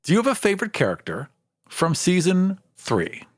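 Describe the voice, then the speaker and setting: A man's voice: high voice, huge pitch swings, moderate pace. A man co-hosting a podcast in casual, blunt and emphatic conversation. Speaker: podcast co-host and editor. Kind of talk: casual chat.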